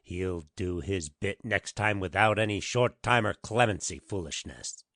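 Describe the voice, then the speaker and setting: An actor playing a shrewd, confident veteran detective with a gruff, assured manner in a dramatic audiobook reading. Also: male voice, deep voice, unhurried, fairly bright tone, dry recording, clean studio sound. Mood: assured, determined.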